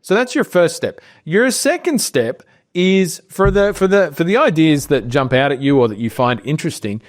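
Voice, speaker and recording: Australian man explaining with lively pitch. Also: moderate pace. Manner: relaxed and explanatory, thinking aloud with fillers. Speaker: co-host of a business training show. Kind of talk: screen-share tutorial.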